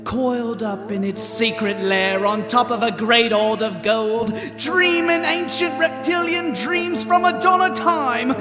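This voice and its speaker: Casting: grandiose poetic watchman, florid and brooding, live radio play with very loud music in a hall. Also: very high voice, measured pace, hardly any pauses. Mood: portentous. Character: watchman waxing poetic, dreamy and portentous. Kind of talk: live audio theatre performance.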